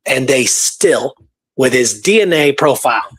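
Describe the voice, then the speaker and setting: A man's voice: mid-range voice, lively intonation, unhurried, fairly bright tone, slightly rough. A man co-hosting a show, talking casually through history and voicing imagined thoughts as he tells it. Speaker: radio show co-host.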